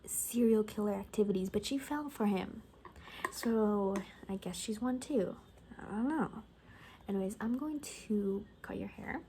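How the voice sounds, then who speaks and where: A woman speaking softly in an ASMR roleplay as a gossipy survivor, rambling casually and wryly and often dropping into whispers. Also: mid-range voice, measured pace, slight background noise. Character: gossipy female bunker survivor in a zombie apocalypse. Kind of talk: soft-spoken ASMR, improvised gossipy storytelling.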